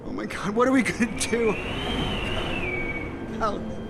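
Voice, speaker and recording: A man's voice: high-pitched, unhurried, vocal fry, background noise. A man acting a distraught husband and father, anguished and panicked, crying out and swearing in a dramatised script reading. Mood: despairing panic.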